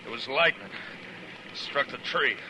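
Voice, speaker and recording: An actor playing a terse, grave US marshal in a 1950s western radio drama, on a noisy old recording. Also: male voice, mid-range voice, animated, moderate pace, close to an everyday voice. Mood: terse, grim. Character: weary male US marshal in 1870s Old West.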